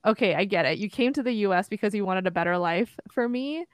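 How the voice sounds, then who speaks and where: A woman's voice: medium pitch, lively intonation, fast, smooth, no echo. Reflective young woman, dry close sound. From Korea. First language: Korean. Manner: reflective, thinks aloud with many likes and you-knows. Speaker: podcast co-host. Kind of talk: casual chat.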